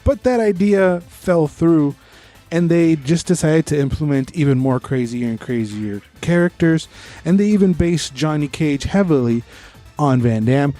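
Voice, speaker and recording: A man's voice: a little high, expressive, a little slow, mellow, soft background music. A man co-hosting a podcast, giving opinionated, informal review chat with bits of trivia. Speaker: podcast co-host.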